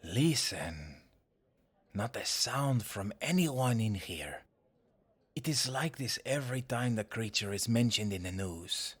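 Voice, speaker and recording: A man playing a serious, world-weary supernatural in an audio roleplay, measured and wary. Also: lowish voice, expressive, slightly rough, heavily acted. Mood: grave, uneasy. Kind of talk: audio roleplay drama acting.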